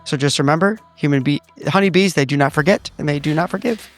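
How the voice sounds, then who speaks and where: A man chatting casually with dry, deadpan humor as a tabletop roleplaying podcast player. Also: fairly high pitch, fast talker. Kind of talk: casual chat. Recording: music behind the voice. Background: music.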